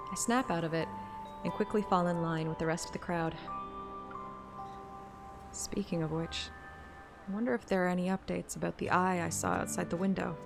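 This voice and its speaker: A woman's voice: fairly low pitch, creaky. A woman game streamer giving casual commentary and narration over a story game.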